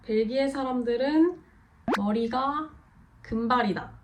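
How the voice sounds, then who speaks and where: A woman's voice: fairly high pitch, a little slow, noisy background. A young Korean woman with a cold, co-hosting and chatting while she explains things casually. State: has a cold. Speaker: co-host of a couple channel. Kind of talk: casual chat.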